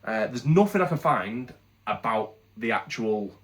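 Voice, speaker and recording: A man's voice: mid-range voice, animated, a little slow. A British man with a congested head cold, casually reviewing products in a chatty, candid, rambling way with frequent restarts. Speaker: product reviewer, channel host.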